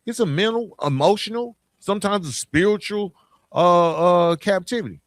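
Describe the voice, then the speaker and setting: A man's voice: sits high, normal speed, no echo. An American man hosting a podcast, speaking in a casual motivational monologue, emphatic and colloquial, putting questions straight to the listener. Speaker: podcast host.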